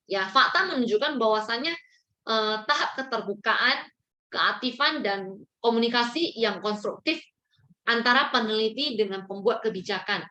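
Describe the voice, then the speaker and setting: A woman's voice: a little high, sharp tone. A woman giving a formal, didactic lecture-style explanation, as if working from notes. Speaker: lecturer presenting on education policy research.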